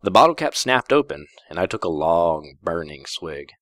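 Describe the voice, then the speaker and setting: A man's voice: low voice, sing-song, moderate pace, fairly bright tone, no echo, clean studio sound. A man narrating a first-person story in a wry, theatrical way. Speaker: fan fiction reader.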